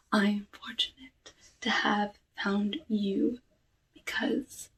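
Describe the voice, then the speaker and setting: A courteous, lonely forest witch, played by a woman murmurs and whispers through an ASMR roleplay. Her delivery is very slow and deliberate, with formal old-fashioned phrasing and a sly hint of menace. The recording sits a little away from the microphone over a faint layer of noise. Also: medium pitch, far from the mic, natural voice, rough recording. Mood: hushed, welcoming. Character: soft-spoken lonely witch of the woods, courteous, slyly menacing.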